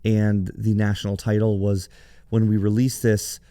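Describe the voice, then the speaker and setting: A man hosts his podcast alone, his voice hoarse, raspy and gravelly after a night of cheering. His tone is low and mellow, and he explains things plainly in a conversational way. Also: monotone, moderate pace. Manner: conversational, explanatory, with some restarts. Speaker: podcast host. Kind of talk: solo business podcast talk.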